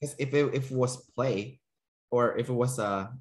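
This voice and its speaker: A man's voice: medium pitch, normal speed. A man interviewing someone informally on a video call, explaining things in a conversational way and repeating phrases as he goes. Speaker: interviewer, personality trainer. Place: room at home, indoors.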